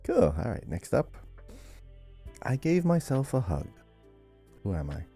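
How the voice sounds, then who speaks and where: A man's voice: medium pitch, wildly swooping pitch, unhurried, creaky, mellow, slightly rough, faint music behind. A man co-hosting a podcast, playing quizmaster and reading out riddles in a casual way.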